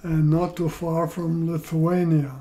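Slow older man from Poland. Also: a little high, level tone, warm tone. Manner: slow, measured, pausing between phrases. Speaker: interviewee. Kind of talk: oral history storytelling. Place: living room, indoors.

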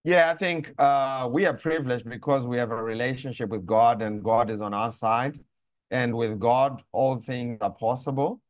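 A middle-aged man from Zimbabwe, a pastor, speaking on a video call in a measured way with many pauses and fillers. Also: mid-range voice, steady tone, no room sound. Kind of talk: conversational faith teaching on a video call. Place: room indoors. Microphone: lapel mic on a video call.

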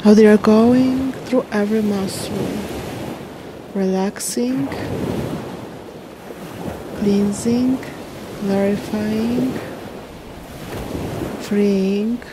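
Slow-paced woman guiding a meditation over waves. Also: medium pitch. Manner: soothing, unhurried, short phrases with long pauses. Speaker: meditation guide. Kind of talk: slow guided meditation narration. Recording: steady wash of ocean waves and wind noise. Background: ocean waves.

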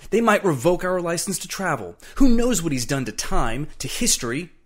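A man voicing a furious, threatening safari guide in a dramatised story reading, forceful and accusing as he barks orders. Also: mid-range voice, big pitch swings, normal speed, natural voice. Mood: furious, exasperated.

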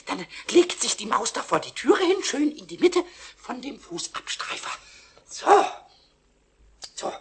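A man voicing a small, mischievous, excitable kobold in a radio play, squeaky and stammering as he repeats his words. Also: a little slow, husky, exaggerated voice. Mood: gleeful.

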